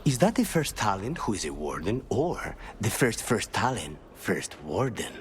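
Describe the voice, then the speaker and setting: A dry, brooding fantasy-game assassin. His low voice is understated and wry, yet moves widely in pitch as he delivers deadpan remarks. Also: moderate pace, a little hiss or hum.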